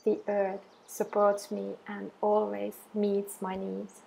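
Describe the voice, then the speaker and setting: Slow-speaking woman guiding meditation, gently husky. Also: mid-range voice, completely flat delivery, low-quality recording. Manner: soothing, measured, deliberate phrases with long pauses. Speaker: meditation guide. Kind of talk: guided affirmation meditation.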